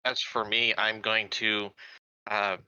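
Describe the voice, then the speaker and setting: A man playing a tabletop roleplaying game, talking casually in a halting, deliberate way. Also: fairly low pitch, flat delivery, pushed and bright, clear, resonant tone, no room sound, lo-fi sound.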